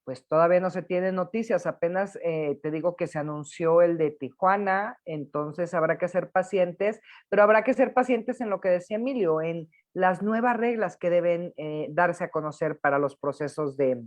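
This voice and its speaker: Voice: medium pitch, moderate pace, few pauses. A host, chatting and reading out viewer questions on a live show.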